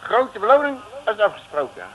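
An actor playing a boastful, muttering, self-important detective in a 1960s Dutch radio serial, with theatrical exclamations. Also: male voice, high-pitched, big pitch swings, normal speed, highs cut off. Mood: reading out, tense. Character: boastful, blustering male detective with a nose for money. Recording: noisy old radio recording.

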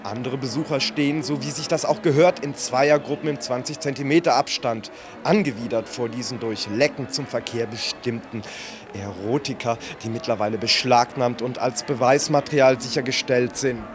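A man's voice: medium pitch, fairly even intonation, moderate pace, music in the background, close to an everyday voice. Pompous, prudish male field reporter played by an actor. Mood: scandalised, prim. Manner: pompous, florid. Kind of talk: mock live radio reportage.

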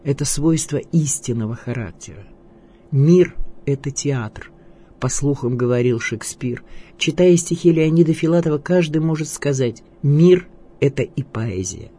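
A man reading scripted radio documentary narration in a measured, declamatory way. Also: fairly high pitch, lively intonation, dark tone, slightly rough.

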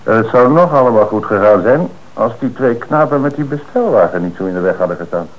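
A man plays a police commissioner in an old radio drama, calm and in command. His voice sits on the low side. The recording is dull and slightly hissy. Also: fairly quick, natural voice. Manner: calm, authoritative, explanatory.

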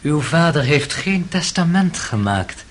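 A man's voice: a little high, very animated, a little slow, fairly bright tone, a little hiss or hum. A man playing a sly, obsequious manservant with sinister cunning in a radio play, speaking in an insinuating manner. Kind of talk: Dutch radio play acting.

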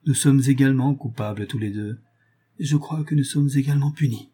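A man's voice: mid-range voice, a little fast, dark tone, husky, natural voice. A man reading a novel aloud as a remorseful married noblewoman, grave, reproachful and resolute as she renounces her lover. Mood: sorrowful resignation.

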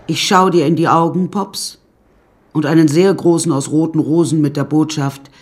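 A woman's voice: deep voice, steady tone, normal speed, darkish tone, close to an everyday voice. An actress playing a wry woman who recounts her death-strewn childhood in a radio play, dry and confiding. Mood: darkly comic. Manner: dry, darkly comic, confiding.

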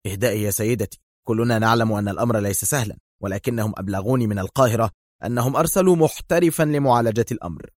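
Guy as a composed military officer in a spy audiobook, quiet, firm, gives little away. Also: on the low side, very fast, no echo, studio quality, lightly acted. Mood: calm. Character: calm, stern male military attaché.

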